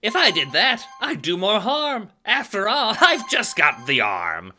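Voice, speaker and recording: A man's voice: high voice, wildly swooping pitch, unhurried, sharp tone, soft background music, pitched above the performer's own voice, heavily acted. A man voicing a jovial baby-blue jester, a rhyming game helper with a sing-song delivery in an audio drama.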